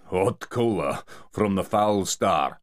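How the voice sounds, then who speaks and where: A man voicing a burly, bear-like dockside mafia man who speaks calmly and unhurriedly. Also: low-pitched, takes it slowly.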